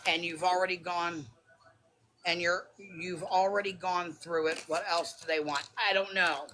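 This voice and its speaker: A woman with a raspy smoker's voice, talking defensively and bluntly on a phone livestream. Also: on the low side, steady tone, unhurried, a bit bright, a little hiss or hum. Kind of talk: heated live chat.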